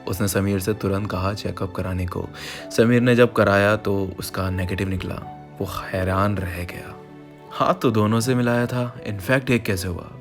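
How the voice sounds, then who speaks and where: A man's voice: fairly low pitch, fairly even intonation, unhurried. An Indian man telling an emotional scripted story in an earnest, conversational way. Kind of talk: dramatic, emotional scripted narration of a sad story. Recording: voice over background music. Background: music.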